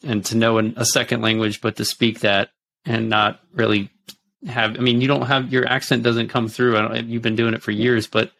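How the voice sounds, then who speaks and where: A man's voice: medium pitch, little pitch movement, normal speed, vocal fry, fairly bright tone. A man hosting an interview, asking questions hesitantly with many ums and restarts. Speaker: podcast host. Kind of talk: casual interview.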